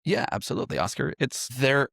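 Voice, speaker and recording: Male podcast guest, medium pitch, explanatory and conversational, very dry recording. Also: big pitch swings, fairly quick, creaky, a bit bright, no echo. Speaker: interview guest, improv musical performer.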